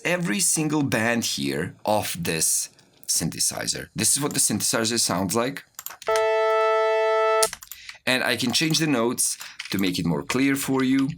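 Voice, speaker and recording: A man giving a software tutorial as an instructor, explaining step by step while he demonstrates. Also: mid-range voice. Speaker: music production instructor.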